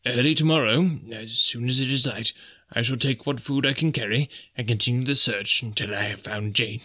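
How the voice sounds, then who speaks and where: A man's voice: medium pitch, moderate pace, pushed and bright. A man voicing an elderly, grief-stricken but resolute professor with solemn, formal phrasing in an audiobook. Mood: weary resolve.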